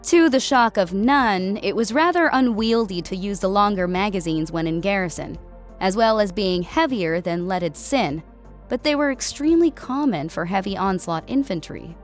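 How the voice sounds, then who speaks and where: A woman delivers scripted story narration in a mid-pitched voice. She reads prose as an audiobook narrator. Music plays softly behind her. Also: expressive, normal speed. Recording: music bed under voice. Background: background music.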